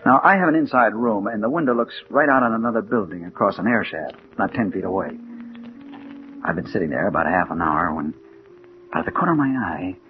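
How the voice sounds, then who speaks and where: An actor playing an impatient, haunted American crime reporter, tough and slangy, in 1940s radio drama style. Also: male voice, lowish voice. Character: hard-boiled male crime reporter, impatient, obsessive, haunted. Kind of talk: 1940s radio drama acting, first-person narration. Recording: dull, hissy 1940s radio recording.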